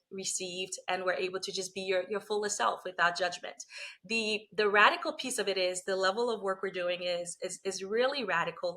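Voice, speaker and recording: A woman's voice: mid-range voice, pushed and bright. A woman from Liberia, a lawyer and coach, talking through her career as an interview guest in a reflective, explaining way. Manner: reflective, explanatory, frequent "you know" and "right?". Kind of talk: conversational interview.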